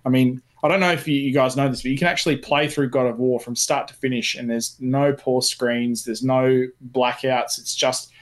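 A man's voice: mid-range voice, fairly even intonation, moderate pace, few pauses. A man co-hosting a casual gaming discussion, speaking informally and with enthusiasm. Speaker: podcast co-host.

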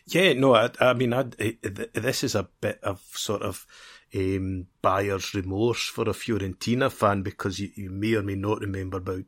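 A man's voice: lowish voice, steady tone, normal speed. A man co-hosting a football podcast in a casual, discursive conversational way.

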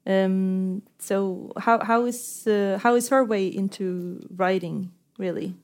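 A woman interviewer putting questions in a literary conversation, phrasing them tentatively and haltingly. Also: mid-range voice, fairly even intonation, darkish tone.

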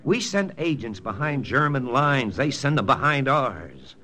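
An actor playing a confident American army intelligence lieutenant in a 1940s radio drama, brisk, persuasive and matter-of-fact. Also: male voice, medium pitch, sing-song, sharp tone. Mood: persuasive, firm. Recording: old radio broadcast with music.

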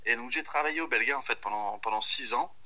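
A man in his thirties speaks as a guest on a phone call. His voice is strikingly bright and forward, at an ordinary male pitch. He talks informally and hesitantly, thinking aloud as he goes. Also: fast talker. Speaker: chef, podcast guest. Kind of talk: casual chat over the phone.

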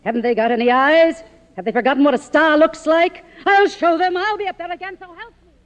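Actress as grand, imperious fading film star, echoing. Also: female voice, sits high, a little fast, natural voice. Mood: indignant, defiant. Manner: grand, theatrical, haughty. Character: imperious, vain, faded silent-film star, middle-aged woman. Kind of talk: 1950s radio drama acting. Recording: muffled old radio recording, reverberant stage.

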